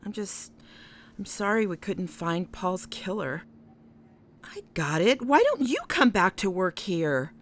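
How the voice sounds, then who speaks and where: A woman's voice: mid-range voice, sing-song, a little slow. A woman playing a cordial, direct police chief in a contemporary radio drama. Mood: friendly, probing.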